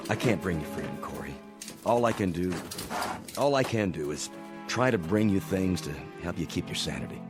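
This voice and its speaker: An actor playing a weary, compassionate supply ship captain in a radio drama, earnest, measured and guarded. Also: male voice, low voice, animated. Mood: regretful, sympathetic. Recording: radio drama with music behind.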